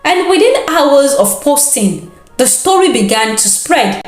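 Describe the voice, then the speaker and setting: A woman storyteller delivers a scripted tale with expressive care. Her voice sits fairly high and she takes her time. The room adds noticeable echo around her. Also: measured pace, faint music behind. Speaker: storyteller, host. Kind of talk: scripted dramatic folktale narration.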